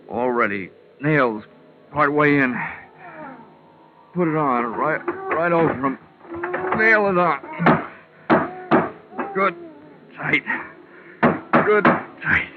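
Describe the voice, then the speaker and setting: An actor playing a wisecracking, hard-boiled investigator in an old radio crime drama, sardonic and clipped. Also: male voice, medium pitch, noisy background, lightly acted. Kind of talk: 1940s-50s radio detective acting, first-person narration. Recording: muffled old-time radio recording with music.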